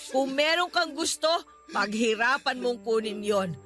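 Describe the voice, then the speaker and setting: Stern hurt older nanny in pinoy radio drama, high sharp voice, scolding n emotional, bit of music behind. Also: female voice, lively intonation, measured pace. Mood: stern, lecturing. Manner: emotional, reproachful, preachy. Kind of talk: Filipino radio drama acting.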